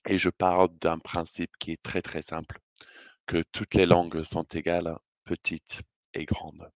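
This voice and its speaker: A British man with a low, deep voice delivers a prepared presentation. His British accent shows, and his phrasing is measured and hesitant. The sound is dry and close, with little room around it. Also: little pitch movement, dry recording. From Britain. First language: English. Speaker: conference speaker.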